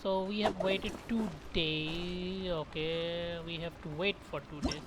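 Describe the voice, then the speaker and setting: Slow-talking woman commentating on gameplay. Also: lowish voice, fairly even intonation, loud music behind the voice. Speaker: game streamer.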